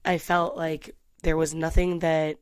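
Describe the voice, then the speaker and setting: A young woman in her twenties tells her story in a loose, candid way, often restarting her sentences and tossing in asides. Her voice sits on the low side for a woman. The sound is close and dry. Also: little pitch movement, unhurried, clear, resonant tone, no echo. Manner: chatty, candid, with frequent restarts and "like". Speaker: vlogger. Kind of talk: casual storytelling to camera.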